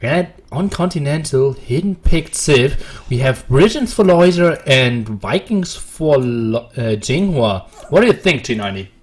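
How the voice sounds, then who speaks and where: A man's voice: mid-range voice, expressive. Man calling game action play-by-play. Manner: brisk, play-by-play. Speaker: esports caster. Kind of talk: live game commentary.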